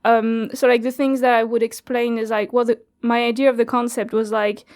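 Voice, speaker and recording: French woman, flat chatty talk. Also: fairly high pitch, fairly quick. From France. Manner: chatty, laughs often, many fillers and "like". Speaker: guest, youth radio studio coordinator. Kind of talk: casual interview chat.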